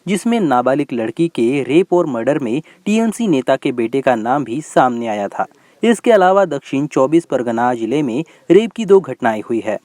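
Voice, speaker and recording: Male news presenter with lively intonation. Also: a little high, no echo, clean studio sound. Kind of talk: scripted news narration in a bulletin.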